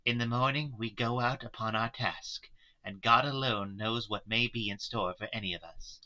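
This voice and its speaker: Man reading as an anguished, resolute wife, forceful and bright. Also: medium pitch, moderate pace, dull audio. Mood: solemn, pleading. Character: anguished, resolute wife pleading with her friends.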